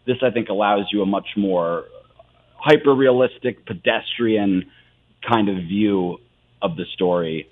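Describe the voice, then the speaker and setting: A man's voice: fairly low pitch, a little slow, phone-quality sound. Phone-sounding American man, earnest explainer. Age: 30s. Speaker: theater artist, interview guest. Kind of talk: conversational interview answers.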